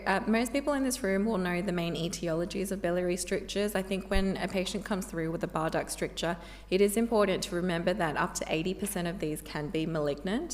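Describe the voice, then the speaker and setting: A woman presents a medical lecture at a conference, steady and explanatory in tone. Her voice is strained because she is losing it, and it sits somewhat low. The sound carries a long hall reverb. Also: a little hiss or hum. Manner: measured, informative. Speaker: medical specialist giving a talk.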